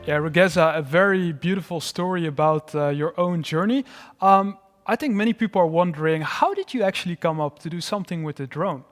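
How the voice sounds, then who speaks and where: Man, panel host, affirming, reads audience questions, high voice, lingering reverb. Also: brisk, faint music behind. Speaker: host, moderator. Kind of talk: live studio moderation.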